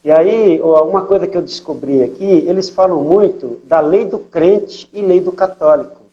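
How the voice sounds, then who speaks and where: A man's voice: on the high side, moderate pace, lo-fi sound. An older man from São Paulo with a São Paulo accent, speaking as a missionary and telling stories in an interview, leaning on fillers and tag questions. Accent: São Paulo Portuguese. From São Paulo, Brazil. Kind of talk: conversational testimony interview.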